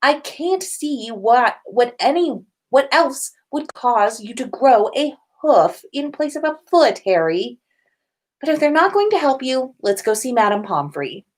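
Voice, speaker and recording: Woman doing bossy teen witch girl, earnest n indignant, high animated voice, fanfic reading. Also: a little slow, heavily acted. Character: concerned, bossy, sensible teenage witch girl.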